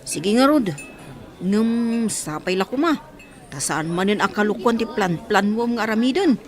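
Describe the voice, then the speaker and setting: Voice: mid-range voice, animated, unhurried. A performer playing a schemer's doubtful, questioning friend in an Ilocano radio comedy drama.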